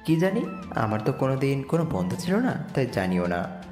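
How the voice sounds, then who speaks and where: A humble, earnest young man from the slums played by a male actor narrates and speaks in a romantic audio story. His mid-pitched voice moves expressively, washed in long reverb over music. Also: big pitch swings, fairly quick, a little mellow, natural voice. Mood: shy, humble. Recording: echoey reverb with music bed.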